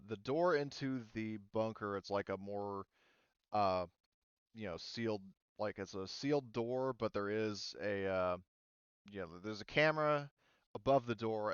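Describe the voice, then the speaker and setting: A man casually narrating a tabletop roleplaying game as game master, speaking haltingly as he searches for words. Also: lowish voice, clear, resonant tone, dry recording, muffled recording.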